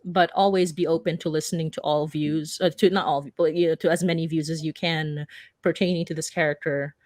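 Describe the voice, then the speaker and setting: Woman, slightly low pitch, dry close sound, unscripted panel talk, earnest, halting, self-rephrasing. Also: fairly even intonation, darkish tone, dry recording. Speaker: panelist, audio drama writer.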